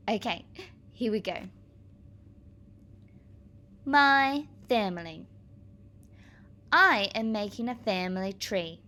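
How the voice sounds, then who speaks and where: Slow-paced woman reading aloud to children. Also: mid-range voice. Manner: clear, expressive, addressing young children. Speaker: primary school teacher reading aloud. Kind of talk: children's read-aloud with explanatory asides.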